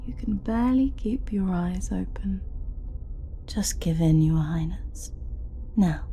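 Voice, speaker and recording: A woman performs a scheming royal advisor in a fantasy audio roleplay, a smug and menacing sorceress. The voice is dark and velvety with a creaky edge, drawling out mock courtesies slowly and theatrically, breathy and often sinking toward a whisper. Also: mid-range voice, animated, faint music behind. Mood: soft, menacing. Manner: theatrical, mock-courteous, taunting, drawn-out phrasing.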